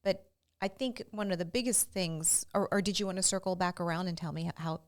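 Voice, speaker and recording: A woman's voice: on the low side, steady tone, fairly quick. A woman in her early fifties, a doctor co-hosting a health podcast in a casual, personal conversational style. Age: early 50s.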